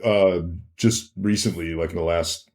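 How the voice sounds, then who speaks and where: A man speaking as an interview guest, telling anecdotes casually, halting and repeating himself for emphasis. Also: low voice, steady tone, darkish tone. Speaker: interview guest, former bodyguard.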